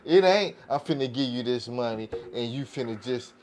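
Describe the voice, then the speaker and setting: A man's voice: mid-range voice, animated, normal speed, a little mellow, a little hiss or hum. A man in a casual podcast discussion, slangy and repetitive, often asking whether people follow what he means. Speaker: podcast panelist.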